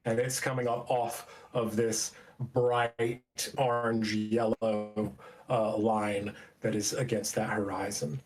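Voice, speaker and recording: A man working as a game master, improvising descriptive narration in a halting, conversational way. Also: mid-range voice.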